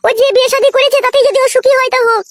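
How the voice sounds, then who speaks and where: A woman's voice: extremely high pitch, steady tone, rapid-fire. A woman playing a devoted, pleading, long-suffering wife in a comedy cartoon, submissive and insistent. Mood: reassuring.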